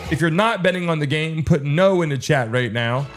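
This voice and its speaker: Man, high voice, livestream sports host, informal hype, addressing chat. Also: animated, brisk, soft background music. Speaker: live stream sports show host. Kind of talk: livestream chatter and game reaction to viewers.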